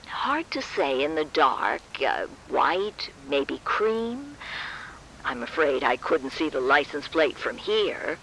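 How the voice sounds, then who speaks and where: A genteel elderly woman dithers slowly through game dialogue. Her creaky voice is sharp and swings widely in pitch, with ambience behind it. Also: very animated, slight background noise, heavily acted, low-quality recording. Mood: hesitant, helpful. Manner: dithering, slow. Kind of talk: video game cutscene dialogue.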